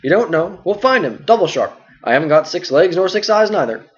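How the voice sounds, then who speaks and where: A man voicing a fat, bustling, talkative innkeeper who speaks loudly and in a hurry, on a dull lo-fi recording. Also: high voice, sing-song, voice pushed up. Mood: bustling chatty. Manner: loud, hurried, endless stream of talk. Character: fat bustling middle-aged male innkeeper, chatty.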